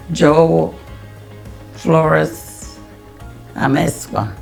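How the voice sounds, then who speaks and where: Slow, gravelly woman in her 80s. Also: low-pitched, darkish tone, soft background music. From Iowa, United States. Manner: halting, searching for words, trailing off. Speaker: interviewee, grandmother. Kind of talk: casual family interview.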